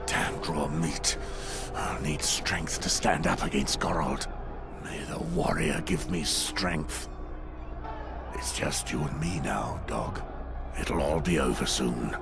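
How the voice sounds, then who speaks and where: An actor playing a grim, hardened sworn warrior in a fantasy video game, gravelly and terse, with resolute delivery. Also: male voice, very deep voice, takes it slowly, over loud music, exaggerated voice. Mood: grim resolve.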